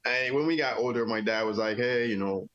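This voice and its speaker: A man's voice: mid-range voice, brisk, no room sound, highs cut off. A middle-aged man from Jamaica, an army veteran telling his story in a casual interview, rambling easily with many fillers. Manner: relaxed, rambling with many "you know" fillers.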